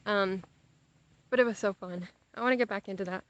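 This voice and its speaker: A young adult woman with an American accent talks in a casual, giggly vlog style as she walks outside. Her voice sits at an ordinary mid pitch and wanders easily between asides. The sound is close and dry, slightly dulled. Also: brisk, no room sound, lo-fi sound. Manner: giggly, easily sidetracked, playfully exaggerating. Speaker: vlogger. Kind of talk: casual rambling chat to camera while walking outdoors. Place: outdoors on a sidewalk, walking.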